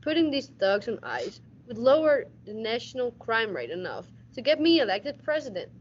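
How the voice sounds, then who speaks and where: A woman's voice: a little high, sing-song, moderate pace. Muffled, hardboiled detective played by a young woman. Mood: dry, hardboiled narration. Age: teens. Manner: stumbles and repeats words while reading. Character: hard-nosed, wisecracking male police detective. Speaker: school radio drama actor. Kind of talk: hardboiled detective radio-play narration. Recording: dull audio with faint noise.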